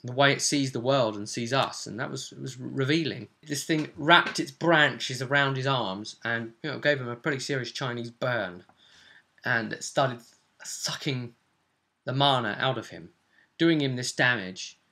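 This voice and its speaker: A man's voice: medium pitch, normal speed, sharp tone. A man chatting casually as a game master, recapping a tabletop role-play session and acting out bits as he goes.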